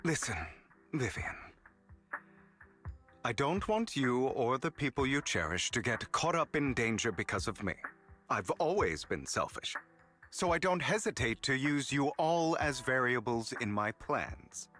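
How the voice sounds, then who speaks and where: An actor playing a suave, theatrical male con artist in a game cutscene, smooth and dramatic, dropping to a whisper at times. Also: mid-range voice, sing-song, a little slow, fairly bright tone, faint music behind.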